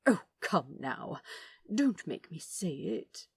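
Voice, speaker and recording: A woman voicing a gentle, fussy, fond male angel in a storybook reading, with a soft, breathy, prim delivery. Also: fairly low pitch, very animated, slow pace, no echo, full character voice. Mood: bashful.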